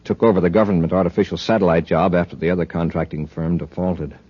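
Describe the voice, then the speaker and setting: An actor playing a brisk, commanding space patrol commander in an old-time radio drama. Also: male voice, deep voice, fairly even intonation, slightly rough, no echo. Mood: matter-of-fact. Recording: dull, dry old radio recording.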